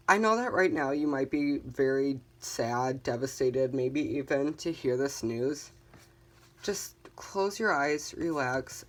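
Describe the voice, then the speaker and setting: Chill tarot reader chatting to viewers, calm and reassuring, lots of ums. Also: medium pitch. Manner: calm, reassuring, gently guiding listeners; chatty, with frequent "um" fillers. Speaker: tarot reader, channel host. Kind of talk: casual tarot card reading for viewers.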